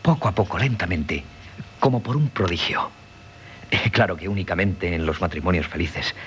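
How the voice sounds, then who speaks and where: An actor playing a dreamy, earnest young sculptor in a Castilian Spanish radio drama, halting and repeating himself as he muses. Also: low voice, fairly bright tone. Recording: noisy 1970s radio broadcast.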